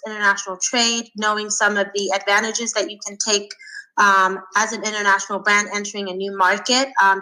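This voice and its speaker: A woman in her thirties from Sweden, answering interview questions thoughtfully with frequent pauses and fillers. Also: medium pitch, sharp tone, low-quality recording. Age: 30s. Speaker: guest.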